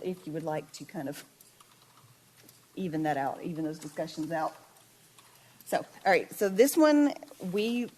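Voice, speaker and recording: A woman presenting a list of projects to a committee in a measured, explanatory way. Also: lowish voice, a little mellow. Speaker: staff presenter. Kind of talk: meeting presentation.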